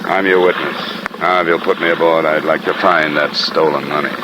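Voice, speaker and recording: An actor playing a brisk, hard-boiled American insurance investigator, speaking tersely and dryly in a 1950s radio drama. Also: male voice, rumbling low, level tone, very noisy. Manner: terse, dry. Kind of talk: 1950s radio acting, noir narration. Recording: vintage radio recording with loud music.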